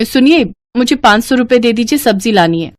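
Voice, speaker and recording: Put-upon young bride, acted by a woman narrator, cartoon moral tale, raised animated voice, dry close recording. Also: on the high side, a little fast, no echo, pitched above the performer's own voice, natural voice. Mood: defensive, pleading. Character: young newlywed daughter-in-law, put-upon and plaintive.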